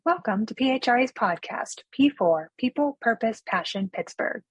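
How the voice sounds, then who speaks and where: A woman, the organization's executive director, reading a scripted podcast introduction in a measured, announcer-like way. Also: on the high side, lively intonation, slightly rough, no room sound.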